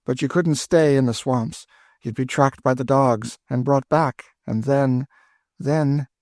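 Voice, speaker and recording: A man's voice: medium pitch, measured pace, darkish tone, dry recording, pitched above the performer's own voice, lightly acted. A man voicing a weary, despairing woman who speaks with dry bitterness.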